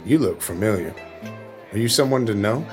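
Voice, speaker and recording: A man's voice: on the low side, lively intonation, moderate pace, lightly acted. A man acting a casual, wry presidential bodyguard in a period drama, dry and offhand, over music.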